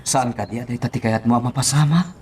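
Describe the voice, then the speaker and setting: A man's voice: medium pitch, fast talker, darkish tone, a little hiss or hum, close to an everyday voice. A man playing a manipulative crime boss in a melodramatic radio drama, menacing and moving between coaxing and threats. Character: menacing, manipulative male crime boss scheming against a woman.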